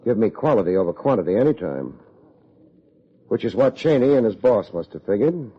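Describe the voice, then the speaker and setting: An American actor playing a wry, self-deprecating private investigator in an old-time radio drama, with a deadpan, wisecracking delivery. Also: male voice, fairly low pitch, fairly quick, slightly rough. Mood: dry, probing. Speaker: lead actor, narrator. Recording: muffled old radio recording.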